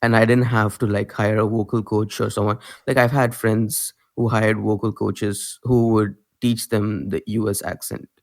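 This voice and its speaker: A man's voice: lowish voice, moderate pace, creaky, hardly any pauses. Laid-back man with an Indian accent. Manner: relaxed, laughs easily, loose filler-heavy phrasing. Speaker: guest musician. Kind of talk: casual interview talk.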